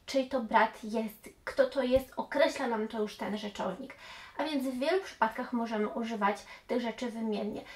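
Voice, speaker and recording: A woman in her thirties, an English teacher, patiently explaining grammar to camera and dropping in English example phrases, recorded in a small room. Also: a little high. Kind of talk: explanatory language lesson to camera. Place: small room indoors with bookshelves.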